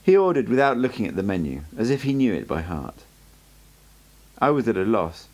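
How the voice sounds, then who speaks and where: A man reading an audiobook aloud as an amateur narrator, in a steady storytelling way. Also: fairly low pitch, a little fast, dull audio. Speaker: audiobook reader.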